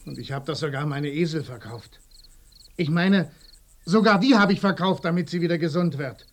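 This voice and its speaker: A man's voice: on the high side, normal speed, close to an everyday voice. An actor in a radio play, playing a poor, desperate village man in grief who wants drink, speaking plaintively. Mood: desperate, agitated. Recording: noisy radio drama, crickets behind.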